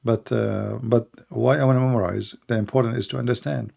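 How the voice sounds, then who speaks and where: Gravelly Arabic-accented man, halting speech. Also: medium pitch, fairly even intonation, warm tone. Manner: halting, many fillers and restarts, blunt and opinionated. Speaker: livestream debate host. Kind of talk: casual unscripted talk answering questions.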